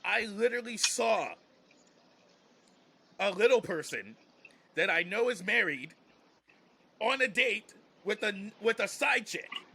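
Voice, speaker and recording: A man's voice: high-pitched, expressive, slow, gravelly, pauses often, pushed and bright, slightly rough. An American man talking casually and with strong opinions on a podcast, emphatic and repeating phrases as he builds a point. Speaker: podcast host, comedian.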